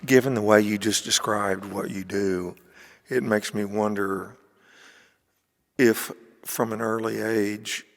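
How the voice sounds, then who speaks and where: A man's voice: on the low side, steady tone, takes it slowly, gravelly, in a large hall, faint music behind. A man hosting a casual interview, asking curious, rambling questions. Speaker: interviewer, show host.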